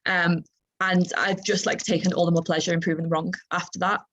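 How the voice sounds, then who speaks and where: A young woman with a softened Liverpool accent, talking informally on a student panel in a chatty, halting, self-correcting way. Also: lowish voice, little pitch movement, a little hiss or hum. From Liverpool, England. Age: young adult.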